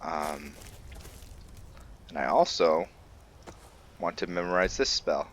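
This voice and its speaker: A man hosting a gaming channel, giving laid-back, halting roleplay commentary as he thinks aloud. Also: deep voice, a little hiss or hum. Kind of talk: casual roleplay game commentary.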